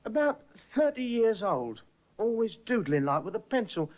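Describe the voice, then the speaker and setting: Frightened little ship's cook, stammering, deferential, high voice, muffled broadcast. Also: male voice, sing-song, moderate pace. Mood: nervous. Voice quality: deferential, stammering, keeps saying sir. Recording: muffled old radio broadcast.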